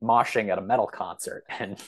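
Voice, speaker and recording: A man's voice: fairly low pitch, steady tone, moderate pace, dry recording. A man talking casually as a podcast guest, explaining things through examples and rambling asides. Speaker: podcast guest, science fiction author.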